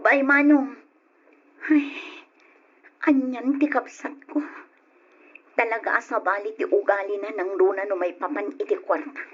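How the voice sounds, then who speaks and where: A worried Ilocano younger sister, pleading and high-voiced, as a woman plays her. Her voice comes through a dull, lo-fi old radio recording. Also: steady tone, a little slow, long pauses, breathy edge.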